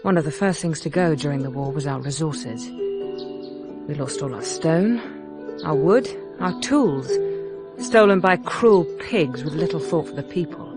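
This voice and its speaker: An actress playing a grave, hopeful noblewoman ruler, narrating game cutscenes in a solemn, measured, earnest way. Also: deep voice. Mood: somber, grieving. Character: grave, hopeful noblewoman ruler rebuilding her war-torn land. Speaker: campaign narrator. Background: background music.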